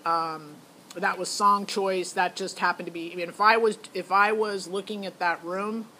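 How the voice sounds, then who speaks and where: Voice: medium pitch, fairly even intonation, normal speed, bright, forceful tone, slight background noise, poor audio. A middle-aged parent giving a casual, candid spoken recap that rambles and quotes past conversations. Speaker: parent reviewing a child's talent show audition.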